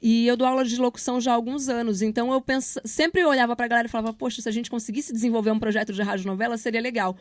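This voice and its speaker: A woman's voice: a little high, steady tone, very fast, hardly any pauses, dry recording. A Brazilian woman giving casual interview answers, explaining things in an informal, slangy way as a project leader and teacher.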